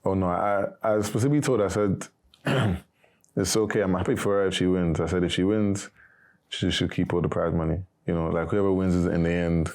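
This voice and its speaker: Man, young adult, very deep voice, monotone, casual interview answers. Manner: monotone, not very animated. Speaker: evicted reality show contestant.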